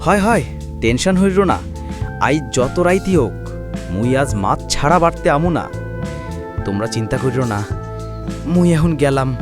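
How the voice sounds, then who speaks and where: A man's voice: mid-range voice, expressive, normal speed, long pauses, lightly acted. Music plays under a man acting as an eager village labourer. He speaks in rustic, reassuring fashion with a Barisal Bengali accent, like a cartoon family man.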